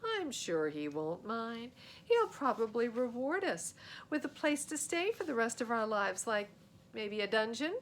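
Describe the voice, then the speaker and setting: A woman plays a sarcastic, skeptical boy in a storybook. The voice is lifted in pitch, with dry, mocking wit. There is clear rumbling noise. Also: a little slow. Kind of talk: storybook character voice. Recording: steady noise with low rumble.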